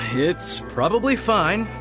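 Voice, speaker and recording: Anxious, earnest young retainer, animated delivery. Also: male voice, high voice, slow, music in the background, full character voice. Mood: casual, informative. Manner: polite, earnest, hesitant. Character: dutiful, anxious young male retainer and guard. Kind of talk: video game character acting.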